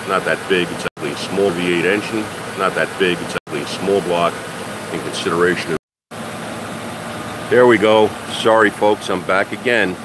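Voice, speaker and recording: Congested older New man, loud engine. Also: low-pitched. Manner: rambling and chatty, with many "uh"s and restarts. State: congested from a head cold. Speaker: car maintenance livestream host. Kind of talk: casual livestream chat with viewers. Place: home garage or driveway beside a running car. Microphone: phone camera. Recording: loud engine noise behind.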